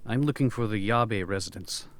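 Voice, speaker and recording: A man's voice: on the low side, moderate pace, close to an everyday voice. A man voicing an obsessive former archaeology professor in a fan manga dub, earnest and musing. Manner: earnest, musing inner monologue. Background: music.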